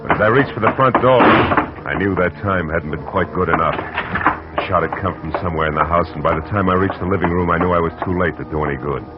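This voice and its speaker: An actor playing a tough private detective, clipped and wry, narrating in old radio-drama style. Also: male voice, deep voice, fast talker, loud music behind the voice, lightly acted. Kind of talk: 1940s radio acting. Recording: dull old radio broadcast with faint hiss.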